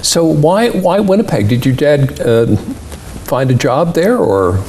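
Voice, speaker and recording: Slow, gravelly-voiced male interview host. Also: a little high, big pitch swings, darkish tone, slight background noise. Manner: deliberate with many hesitations and fillers. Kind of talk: slow, measured interview questions.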